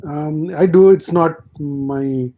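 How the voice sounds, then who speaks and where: A man's voice: fairly high pitch, takes it slowly, warm tone. A man from India, a study group participant who talks politely and hesitantly.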